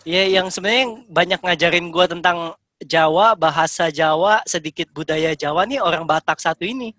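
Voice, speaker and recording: A man's voice: fairly high pitch, moderate pace, sharp tone, no room sound. A man chatting casually with friends, asking questions and laughing often. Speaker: podcast co-host. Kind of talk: casual chat among friends.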